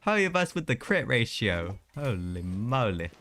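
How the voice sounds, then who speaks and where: A man's voice: mid-range voice, sing-song, measured pace, fairly bright tone, slight background noise. A young man doing casual live gameplay commentary, chatty and slangy with mild exclamations. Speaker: game streamer. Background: game music.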